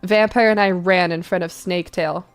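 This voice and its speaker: A woman's voice: fairly low pitch, steady tone, normal speed, smooth, soft background music. A woman hosting a mock-dramatic reading of fanfiction, playful and theatrical, with casual asides. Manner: playful, theatrical, spells out misspellings. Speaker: host reading fanfiction aloud.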